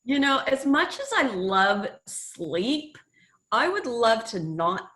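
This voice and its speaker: A woman's voice: fairly high pitch, big pitch swings, takes it slowly, fairly bright tone. A middle-aged woman from California with an American accent, giving a chatty, upbeat marketing workshop over a video call. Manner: chatty and upbeat, laughs often. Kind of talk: casual webinar presenting.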